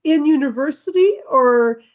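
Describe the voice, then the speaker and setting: A woman interviewing for a commission over a video call, asking polite, gently probing questions. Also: high voice, expressive, measured pace. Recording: slightly muffled video call audio.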